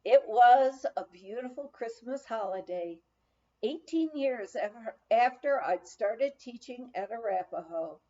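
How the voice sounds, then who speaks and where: Slow, lively-pitched woman reading aloud. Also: muffled recording. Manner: halting, stumbles over words and rereads. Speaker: volunteer audiobook reader.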